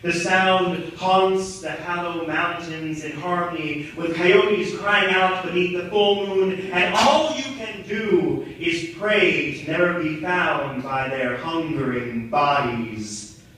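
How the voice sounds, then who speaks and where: A young adult man performing a dramatic spoken-word monologue in a theatrical, deliberate, poetic delivery. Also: a little high, bright, forceful tone. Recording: very echoey, distant mic, noisy room.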